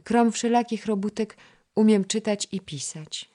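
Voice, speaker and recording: A woman voicing a shy, humble young village girl who speaks softly and modestly. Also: medium pitch, a little slow, voice pushed up, close to an everyday voice. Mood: gentle. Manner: soft, modest.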